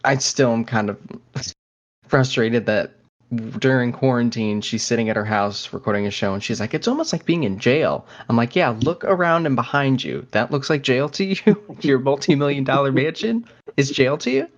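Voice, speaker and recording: A man's voice: medium pitch, normal speed. A man co-hosting a podcast in a casual, chatty style. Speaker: podcast co-host. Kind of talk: casual chat. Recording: dull, lo-fi audio.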